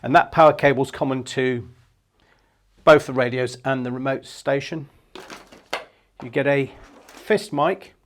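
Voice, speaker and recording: A man's voice: medium pitch, unhurried, long pauses, slight background noise. A man in his fifties giving an unscripted product demonstration, explaining things in a plain, matter-of-fact way into a lapel mic. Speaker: product presenter for a marine equipment shop. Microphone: clip-on lapel mic.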